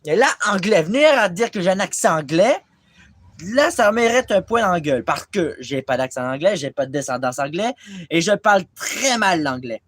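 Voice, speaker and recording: A man's voice: sits high, sing-song, moderate pace, a bit bright. A young man from Quebec talking straight to his tablet camera in a rambling, self-deprecating vlog, swearing often as he goes. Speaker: vlogger. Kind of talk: casual rambling vlog monologue. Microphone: tablet camera mic.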